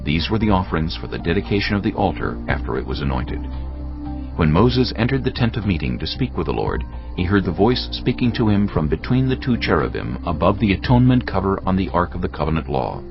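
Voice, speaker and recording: A man narrating a dramatised scripture audiobook solemnly and deliberately, phrase by phrase. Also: sits low, big pitch swings, vocal fry, lo-fi sound. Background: music.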